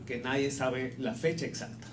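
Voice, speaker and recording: A man's voice: medium pitch, fairly even intonation, moderate pace. A man interpreting a sermon live phrase by phrase, a little hesitant and rephrasing as he goes. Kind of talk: consecutive live interpretation of preaching. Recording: echoey room with clear background noise.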